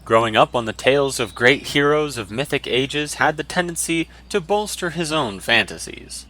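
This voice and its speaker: A man's voice: medium pitch, sharp tone, a little hiss or hum. A man narrating a fantasy story in a dramatic, scripted audiobook style.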